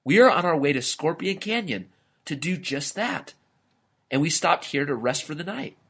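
A man's voice: a little high, expressive, moderate pace, vocal fry, fairly bright tone, slightly rough, voice pushed up, full character voice. A man voicing a cheerful, polite male camel with a friendly, courteous manner in a storybook. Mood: kindly, reassuring.

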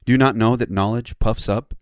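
A man plays a stern, loving lord reading out a reproof in a storybook. The voice is fairly low and solemn, recorded close and dry. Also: steady tone, a little fast, clean tone, no echo, lightly acted. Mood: solemn, admonishing. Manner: solemn, admonishing. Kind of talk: children's story character voice.

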